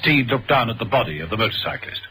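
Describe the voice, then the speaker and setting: A man's voice: mid-range voice, animated, fast, slight background noise. A man narrating a vintage radio thriller in a dramatic, suspenseful storytelling manner. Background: loud music.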